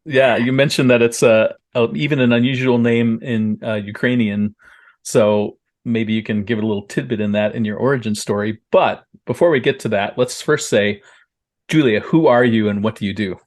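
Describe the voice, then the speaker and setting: A man's voice: mid-range voice, fairly even intonation, moderate pace. A man hosting a podcast interview, introducing his guest and asking curious, friendly questions. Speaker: podcast host.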